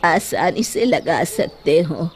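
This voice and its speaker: Woman as a slow, solemn wise holy man. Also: on the low side, animated, darkish tone, a little hiss or hum. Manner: solemn, measured instruction. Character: calm, wise male holy sage advising villagers. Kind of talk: children's story character acting.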